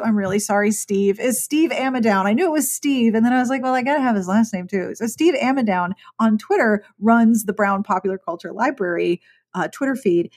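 A woman hosting a podcast interview, chatting enthusiastically and poking fun at herself. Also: a little high. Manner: enthusiastic, self-deprecating, chatty. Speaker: podcast host. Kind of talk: conversational interview.

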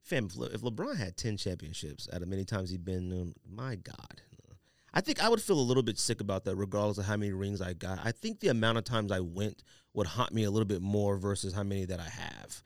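Man, animated pitch, podcast host, casual slangy banter, teasing, dry sound. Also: a little fast, no echo. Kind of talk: casual sports and sneaker banter.

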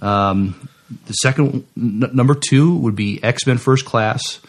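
A man co-hosting a podcast in casual chat, talking haltingly and stammering over the starts of words. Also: mid-range voice. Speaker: podcast co-host.

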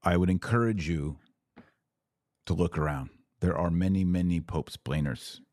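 Deep-voiced man giving opinionated religious commentary. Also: steady tone, darkish tone, dry recording, clean studio sound. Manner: emphatic, argumentative, drawn-out stresses on key words. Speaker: podcast host and commentator.

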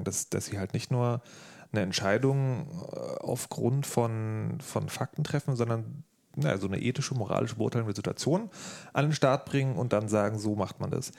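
A man hosting a casual podcast, thinking out loud in informal, slangy language. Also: on the low side, creaky. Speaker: podcast host and interviewer.

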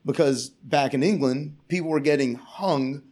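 Male comic guest, profane sarcastic rant, slightly high voice. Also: moderate pace, darkish tone. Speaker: comedian guest. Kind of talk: casual podcast chat. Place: Portland area.

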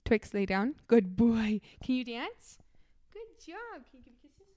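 A woman's voice: on the high side, sing-song, measured pace, mellow, no room sound. A woman co-hosting a casual podcast, chatting in a bubbly, eager way as she tells stories. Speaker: podcast co-host. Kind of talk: casual chat.

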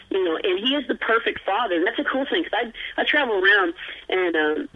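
A man with a high voice, talking as an interview guest in a rambling, earnest way with plenty of fillers and a self-deprecating sense of humor, heard over a phone line. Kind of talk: casual interview chat.

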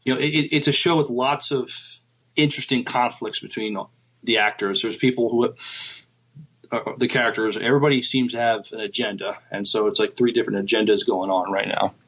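A man's voice: mid-range voice, fairly even intonation, brisk, fairly bright tone, slightly rough, low-quality recording. A man in a casual podcast discussion, talking in a hesitant, thoughtful way as he gives his opinions. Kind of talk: casual TV review chat.

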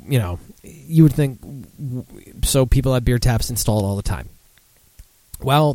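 A man's voice: mid-range voice, darkish tone, no echo. A mildly tipsy man hosting a casual live podcast, rambling and swearing in a self-deprecating way. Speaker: podcast host. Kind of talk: casual live beer-news chat.

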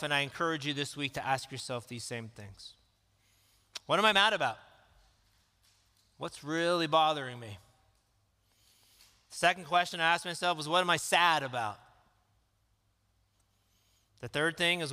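A man preaching a sermon in a calm, conversational way that rises into emphatic questions. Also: mid-range voice. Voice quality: calm, soothing voice. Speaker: pastor. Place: church sanctuary. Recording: long reverb of a church hall.

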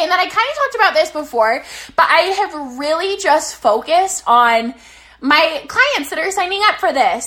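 A young woman sits on her bed and talks straight to her live-stream viewers, coaching them on business with an upbeat, energetic drive and a casual curse or two. Her voice sits high and bright. It is a little strained from days of talking too much. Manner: energetic, swearing, motivational. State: slightly losing her voice. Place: bedroom, sitting on her bed.

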